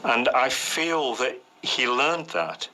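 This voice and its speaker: A man's voice: medium pitch, big pitch swings, slow, pushed and bright, slight background noise, rough recording. A man giving reflective commentary in a documentary interview.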